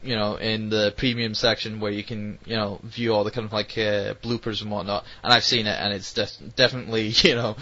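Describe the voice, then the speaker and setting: A man's voice: fairly low pitch, level tone, pushed and bright. Rambling British man, dull lo-fi sound. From United Kingdom. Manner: rambling, halting. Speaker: podcast host. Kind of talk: casual interview chat. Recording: dull lo-fi audio.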